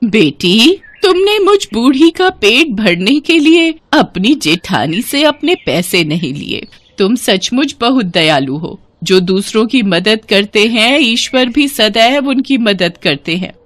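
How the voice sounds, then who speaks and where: A woman voicing a kind old beggar woman in a moral storybook tale, with a slow, drawn-out delivery. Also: fairly high pitch, very animated, fairly bright tone, dry recording, heavily acted. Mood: kindly, reassuring. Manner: slow, drawn-out, pleading and blessing.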